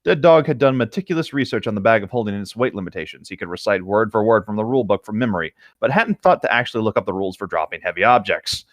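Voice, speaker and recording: Lively man on a podcast, dry sound. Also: on the low side, quick pace, few pauses, no room sound. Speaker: podcast co-host. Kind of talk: casual chat and reading stories aloud.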